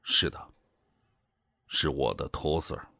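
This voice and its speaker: An actor playing a weary, guilt-ridden older male commander in a radio drama, brooding and deliberate with sighs. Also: extremely low pitch, expressive, gravelly, pushed and bright, no room sound, studio quality, heavily acted. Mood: quiet resolve. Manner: brooding, deliberate, sighing soliloquy.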